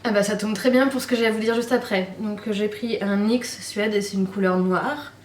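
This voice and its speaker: A woman's voice: mid-range voice, steady tone, normal speed, recorded from a distance, a little hiss or hum. A woman in her thirties from Normandy, chatting casually to camera as a beauty vlogger, gushing and repeating herself with many fillers. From Normandy, France. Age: 30s. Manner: gushing and repetitive, trails off with fillers. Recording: echoey room, off-mic.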